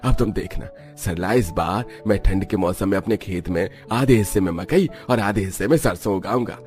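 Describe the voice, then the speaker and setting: A man's voice: low voice, moderate pace, a little mellow, soft background music, close to an everyday voice. A man playing a poor, aggrieved village farmer or labourer in a cartoon story, complaining in plain rustic speech. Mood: hopeful.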